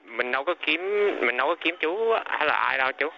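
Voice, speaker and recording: A man's voice: medium pitch, quick pace, vocal fry, dull audio, a little hiss or hum. Patient man answering a restaurant phone. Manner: patient, polite, repeats questions. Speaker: restaurant worker. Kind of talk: phone conversation.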